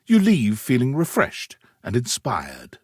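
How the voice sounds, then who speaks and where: An actor playing a florid, pompous male storyteller in a video game, narrating dramatically with arch wit and savored pauses in a husky voice. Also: mid-range voice, huge pitch swings, no echo, studio quality, exaggerated voice. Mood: refined, fond. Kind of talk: theatrical scripted narration.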